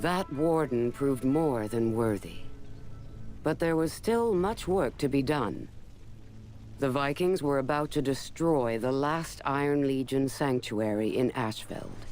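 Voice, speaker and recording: A self-assured male commander played by a man recounts his conquests very slowly, at a medium pitch. It is deliberate game narration with faint music behind it. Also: a little mellow, natural voice. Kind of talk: scripted game cutscene narration.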